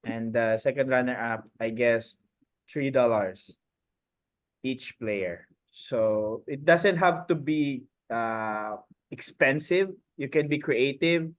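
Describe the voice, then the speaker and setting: A man speaks very slowly on a video call, pausing with fillers as he hunts for each word. His voice is pitched in a middle range, and he explains things in a plain, unhurried way. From Philippines. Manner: halting, searching for words.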